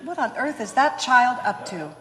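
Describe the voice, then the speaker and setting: A woman's voice: high voice, expressive, normal speed, pushed and bright, long reverb tail. A woman playing a sensible, caring young mother in a radio play, tender and coaxing in her delivery.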